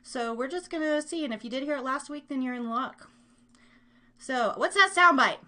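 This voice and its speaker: A woman's voice: high-pitched, normal speed, sharp tone. A woman hosting a casual live online show, chatting in a playful, rambling way and teasing herself.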